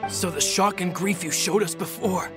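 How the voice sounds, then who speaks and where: A man's voice: sits high, moderate pace, over music, natural voice. A man playing a loyal, protective young henchman in a video game, speaking in urgent exclamations. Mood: indignant. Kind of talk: dramatic video game voice acting.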